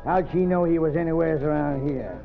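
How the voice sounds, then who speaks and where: A man's voice: on the high side, a little fast, vocal fry, faint music behind. A tinny vintage radio recording of a crooked frontier marshal. He sounds arrogant and cool, with a Western accent and cocky menace. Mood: cocky, threatening. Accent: American Western. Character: arrogant, cold gunfighter marshal, adult male villain. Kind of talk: old-time radio western acting.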